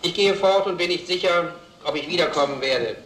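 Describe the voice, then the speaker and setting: An actor playing a wry, melancholy gentleman making a formal, reflective speech in a radio drama. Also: a little high, a little fast, roomy sound, slight background noise, close to an everyday voice, low-quality recording. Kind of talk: radio drama acting, after-dinner speech.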